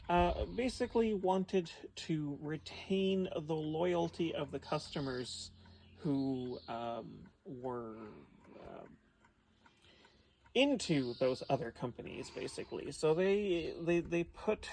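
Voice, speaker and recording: A man presenting in a casual, unscripted showcase style, explaining things in a halting way. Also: a little high, vocal fry, darkish tone. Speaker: clock channel presenter.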